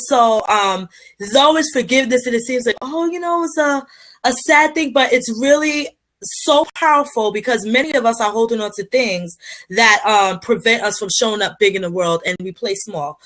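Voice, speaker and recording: A woman from Liberia talks as a guest on a podcast, earnest and motivational, sprinkling in fillers and restarting her sentences. Her voice sits a little high for a woman. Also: a bit bright, low-quality recording. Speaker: guest, public speaker. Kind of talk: podcast interview answers.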